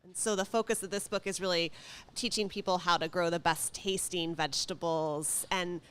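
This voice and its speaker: A woman's voice: fairly low pitch, steady tone, normal speed, a bit bright, clean tone. A woman speaking as a guest in a casual radio interview, chatting and explaining things. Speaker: guest, gardening author and former magazine editor.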